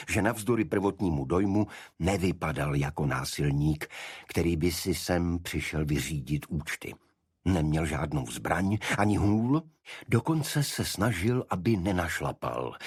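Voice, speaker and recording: A man reading a detective story as a narrator, in a measured, deliberate literary delivery. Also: low voice, a little mellow, breathy edge, no room sound, studio quality. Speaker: audiobook narrator. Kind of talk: scripted first-person detective story narration.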